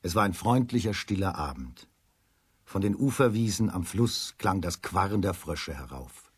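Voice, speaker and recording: A husky-voiced man narrating a scripted children's story as a radio play narrator. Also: low voice, animated, normal speed, a little hiss or hum.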